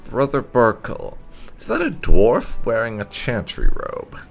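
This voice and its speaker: A man's voice: medium pitch, wildly swooping pitch, a little slow, vocal fry, faint music behind. A man giving casual gameplay commentary with light roleplay, musing and murmuring to himself. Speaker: gaming channel host, player.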